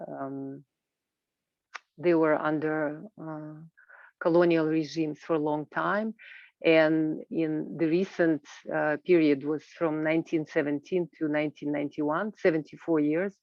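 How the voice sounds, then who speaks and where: A woman panellist with a gravelly, creaky edge gives an informal talk over a video call. She speaks haltingly, with frequent filled pauses. The sound is dull and band-limited, close and dry. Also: deep voice, no room sound. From Kazakhstan. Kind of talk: informal spoken presentation at an online roundtable. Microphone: video call microphone.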